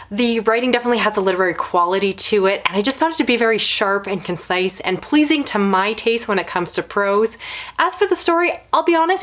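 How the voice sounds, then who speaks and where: A woman's voice: fairly high pitch, fairly bright tone. A woman reviewing books in a casual video style, talking in long, considered explanations. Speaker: book reviewer.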